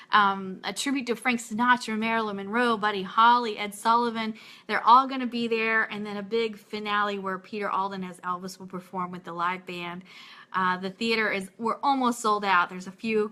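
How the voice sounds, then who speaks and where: An American woman with a clearly bright, somewhat high voice hosts a live online radio show. She talks in a casual, chatty way, as if speaking straight to her listeners. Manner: chatty, informal.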